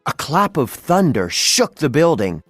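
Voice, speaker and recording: Unhurried, dramatic children's storybook narrator. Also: medium pitch, sing-song, dry recording, faint music behind.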